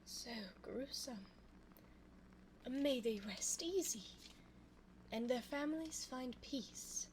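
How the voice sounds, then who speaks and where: A woman playing a sly, evil, seductive immortal vampire in a close-miked ASMR audio roleplay, theatrical and coy, slipping into breathy asides. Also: sits high, animated, takes it slowly, long pauses, low-quality recording. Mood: feigned innocence. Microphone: close binaural 3Dio microphone. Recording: faint background noise, close binaural mic.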